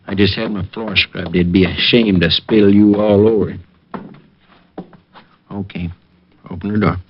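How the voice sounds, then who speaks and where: An actor playing an older American bar owner and crook, gruff, sly and threatening, in a vintage radio crime drama. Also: male voice, on the low side, steady tone, a little slow, lightly acted. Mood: menacing. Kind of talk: old-time radio crime acting. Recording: dull, noisy old radio recording.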